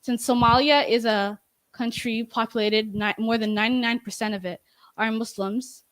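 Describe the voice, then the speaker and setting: A young woman giving a class presentation and answering questions, talking in a halting way with many restarts and fillers, into a handheld microphone in a bright meeting room. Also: fairly high pitch, fairly even intonation, dry recording. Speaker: student presenter.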